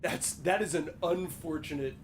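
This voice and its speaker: Young male streamer, profane and jokey, slightly high voice, faint background hiss. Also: normal speed. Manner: jokey, profane, talks to chat. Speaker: gaming streamer. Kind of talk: casual gameplay commentary.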